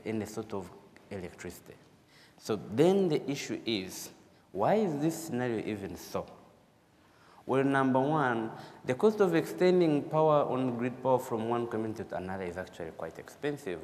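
A man's voice: on the low side, a little mellow. A man with a Ugandan accent giving a formal conference presentation, repeating words for emphasis. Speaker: conference speaker, energy specialist. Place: large auditorium stage. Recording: echoey hall, noticeable background noise.

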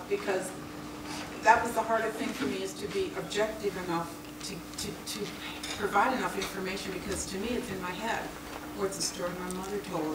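A woman whose first language was German talks through audience questions in a relaxed, conversational way, now and then searching for the right word. She sounds distant and roomy. Heavy noise runs under her voice. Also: mid-range voice. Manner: conversational, laughing, searching for words. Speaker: panel speaker. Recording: roomy, distant, noisy hall recording.

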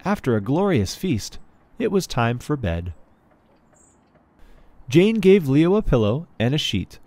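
A man's voice: medium pitch, sing-song, slow pace, a little mellow, dry recording. A man reading a children's book aloud as a storyteller. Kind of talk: storybook read-aloud narration.

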